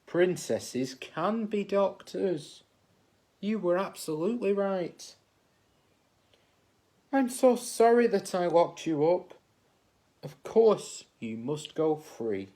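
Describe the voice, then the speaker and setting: A man's voice: high-pitched, expressive, slow, long pauses, dark tone. Man putting on a stern, bossy storybook king. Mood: contrite. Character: stern, bossy old king, Pearl's uncle, thundering and scornful.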